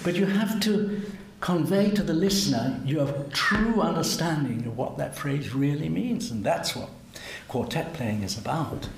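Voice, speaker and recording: A man's voice: a little high, animated, measured pace, gravelly, hardly any pauses. Older man musing in an echoey room. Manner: musing, asks tag questions, chuckles at himself. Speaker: cellist and guest. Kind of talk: conversational interview. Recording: roomy event recording with faint hum.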